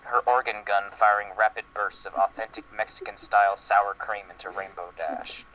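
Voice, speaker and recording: A man's voice: on the low side, fairly even intonation, moderate pace. A man narrating fan fiction as a comedic dramatic reading, with dialogue acted out. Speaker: reader. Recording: lo-fi muffled audio, faint noise.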